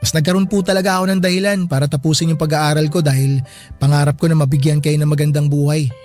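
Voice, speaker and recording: An actor with a Filipino accent playing a grateful young graduate son in a radio drama, earnest and respectful. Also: male voice, a little high, steady tone, quick pace, darkish tone, soft background music, lightly acted. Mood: devoted. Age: young adult.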